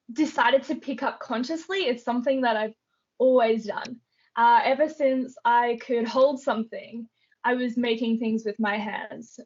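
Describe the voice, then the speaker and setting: A woman's voice: a little high, dull audio. Australian young woman, thoughtful guest. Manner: thoughtful, with frequent ums and light laughs. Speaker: guest artist. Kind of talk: casual interview answers.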